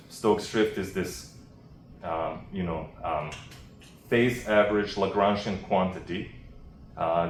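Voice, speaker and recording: Low-voiced man lecturing in a roomy space. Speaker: academic researcher presenting. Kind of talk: technical seminar lecture. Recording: roomy, distant mic, faint background noise.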